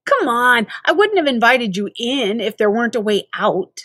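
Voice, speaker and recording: Woman reading as cocky boy gamer, storybook, high voice, enthusiastic, breezy, persuasive, dry sound. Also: very animated, normal speed, a bit bright, no echo. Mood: eager, boastful.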